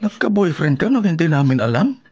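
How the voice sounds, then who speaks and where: A man's voice: fairly high pitch, big pitch swings, a little fast, darkish tone, breathy edge, no echo, muffled recording, heavily acted. An actor playing a stern, demanding father of grown children in a radio drama. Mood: stern, outraged.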